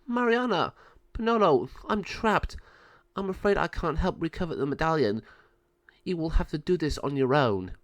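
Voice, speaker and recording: A man's voice: a little high, animated, moderate pace, no echo, voice pushed up, close to an everyday voice. A man voicing a wise, determined good sorceress in a storybook tale, in a raised voice. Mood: earnest.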